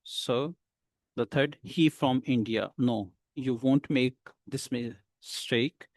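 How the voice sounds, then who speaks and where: A man teaching a beginner lesson, explaining slowly and patiently with many pauses. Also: mid-range voice, dry recording. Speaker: English teacher.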